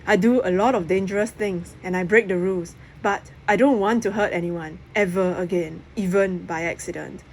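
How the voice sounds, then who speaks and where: A woman reading a children's novel aloud in expressive narration. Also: lowish voice, normal speed, few pauses. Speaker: audiobook reader. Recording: clear background noise.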